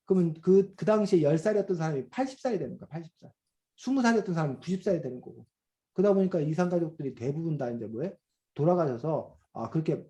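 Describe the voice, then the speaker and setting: Middle-aged male teacher, slightly high but mellow, explanatory and patient, many fillers, video-call sound. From South Korea. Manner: explanatory, many fillers, asks students questions. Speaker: reading teacher. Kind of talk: online class lecture to children.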